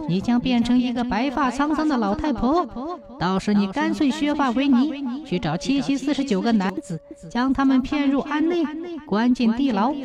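A narrator voicing a scheming thousand-year-old snake spirit with a human head, speaking in a slow, coaxing way. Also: sits high, pitched above the performer's own voice, close to an everyday voice.

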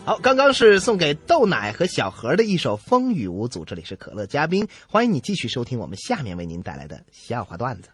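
A man's voice: mid-range voice, huge pitch swings, a little fast, hardly any pauses, husky, no room sound, studio quality, faint music behind. A man co-hosting a comedy radio show, reading jokes and introducing songs.

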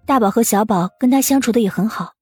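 A woman voicing an anxious, soft-hearted wife and mother in an audiobook, urgent and questioning. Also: on the high side, fast talker, breathy edge, no room sound, faint music behind, voice pushed up. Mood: puzzled, protesting. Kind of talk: audiobook character acting.

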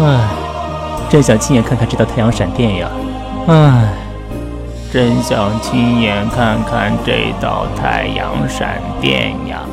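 A man's voice: on the low side, very animated, slow, vocal fry. A man rehearsing a dramatic line with exclamations and sighs. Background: loud music.

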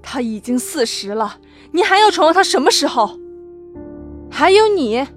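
A woman's voice: high-pitched, lively intonation, fairly quick, soft background music. A woman voicing an impatient, desperate daughter-in-law of thirty-eight in an audio drama, urgent and argumentative. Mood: furious, accusing. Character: desperate, impatient 38-year-old daughter-in-law, sharp-tempered. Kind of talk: acted audio drama dialogue.

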